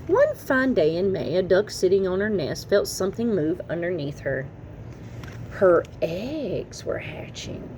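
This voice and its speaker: A woman reading a children's story aloud in an expressive, animated storytelling manner. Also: on the low side, unhurried. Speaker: children's story reader and channel host. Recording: noticeable background noise.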